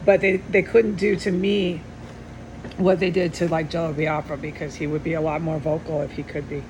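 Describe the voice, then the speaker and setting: A middle-aged woman giving a rambling, earnest personal monologue with insistent, repeated emphasis and a gravelly voice. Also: normal speed. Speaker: vlogger. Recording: steady background hum and rumble.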